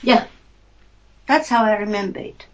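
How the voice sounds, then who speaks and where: A woman from Finland with a Finnish accent, chatting casually about a film, searching for words and pausing often. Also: mid-range voice. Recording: dull lo-fi recording.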